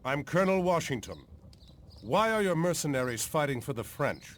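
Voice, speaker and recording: Lively, high-voiced army colonel. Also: male voice, moderate pace, slight background noise. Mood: stern. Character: earnest, authoritative male colonial army colonel. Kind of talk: dramatic video game cutscene acting. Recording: music behind the voice.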